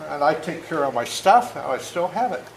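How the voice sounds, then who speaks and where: A man in his seventies with a slightly high-pitched voice tells stories to children. He is plain-spoken and deliberate, like an author reading aloud to a young group. The room is echoey, with faint noise behind him. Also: expressive, gravelly. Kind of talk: storytelling talk and read-aloud to children.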